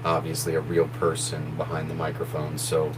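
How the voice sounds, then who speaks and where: A man with a very deep, low voice talks loosely on a live stream, drifting from thought to thought and laughing easily. A steady hum runs clearly underneath the recording. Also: moderate pace. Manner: relaxed, rambling, laughs easily. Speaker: horror story narrator and voice actor. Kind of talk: casual live stream chat. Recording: noisy background hum.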